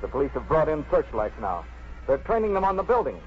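An actor playing a grave radio news announcer giving dramatic live commentary in a 1950s radio drama. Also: male voice, on the high side, very animated, fairly quick, slight background noise. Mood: dramatic, hushed. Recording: muffled 1950s radio broadcast.

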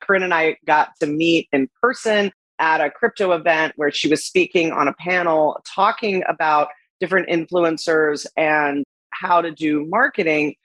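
A woman's voice: low voice, moderate pace, a bit bright, dry recording, poor audio. A woman hosting an interview podcast, gushing and upbeat as she welcomes and questions her guest. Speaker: podcast host. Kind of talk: casual interview chat.

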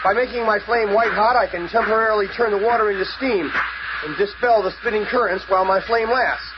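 An actor playing a plucky, cocky teenage superhero in a radio drama, eager and brash. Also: male voice, sits high, a little fast, natural voice. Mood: urgent, excited. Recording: muffled, very noisy old radio recording.

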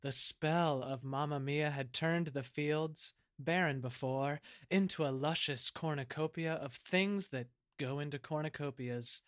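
A man's voice: on the high side, unhurried, few pauses, a bit bright, no room sound, studio quality. A man reading scripted narration for an audio drama in a dramatic storytelling style.

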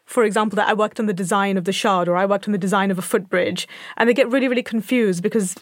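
A woman's voice: mid-range voice, steady tone, fast talker, no echo. A woman hosting a podcast in a studio, an engineer explaining her work in an enthusiastic, chatty way. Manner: enthusiastic, laughs easily. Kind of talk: casual podcast conversation.

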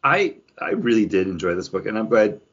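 A man's voice: on the low side, animated, fairly quick, a bit bright, slightly rough. A man hosting a podcast, steering the discussion in casual conversation. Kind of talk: casual chat. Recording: dull, lo-fi podcast audio.

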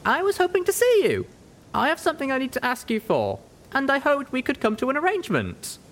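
A man voicing a young female unicorn, earnest and guilt-ridden, speaking in a high pleading voice. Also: wildly swooping pitch, brisk, a bit bright, full character voice. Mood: eager.

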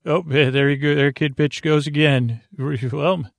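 Creaky-voiced man rambling through a bedtime podcast. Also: on the high side, steady tone, dry recording, studio quality. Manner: meandering, halting, trailing off and repeating words; gentle, soothing address to the listener. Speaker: podcast host.

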